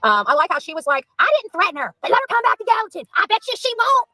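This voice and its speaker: A woman with a very high, bright voice rants informally at a quick clip. She taunts and repeats her phrases for emphasis, close and dry over faint music. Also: sing-song, dry recording. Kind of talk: informal livestream rant.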